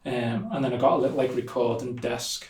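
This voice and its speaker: A young man with a Liverpool accent talks through his story at an easy, meandering pace, dropping in plenty of "um" and "like." His pitch stays fairly flat and steady. The recording has him somewhat off the mic in a room with a noticeable echo. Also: fairly quick, distant mic. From Liverpool, England. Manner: relaxed, rambling, many fillers like "um" and "like". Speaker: musician guest. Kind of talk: casual interview chat.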